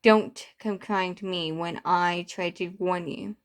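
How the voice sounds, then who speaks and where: A woman's voice: fairly low pitch, steady tone, slow. A woman voicing a bitter, distraught young man in a story, impassioned and protesting, with stumbles. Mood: upset, breaking down.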